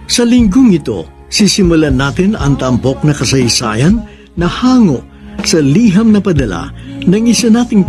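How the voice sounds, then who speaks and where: A Filipino man hosting a radio drama, introducing it in a formal, sentimental and theatrical scripted style. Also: fairly high pitch. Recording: music bed behind the voice. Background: loud background music.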